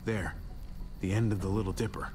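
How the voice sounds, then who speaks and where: Steady, determined male game survivor acted by a man: lowish voice, calm and earnest, music behind. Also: a little fast, close to an everyday voice. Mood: focused, practical. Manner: calm, earnest, plain-spoken. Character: level-headed, reassuring adult male survivor, quietly determined. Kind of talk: naturalistic dramatic game acting.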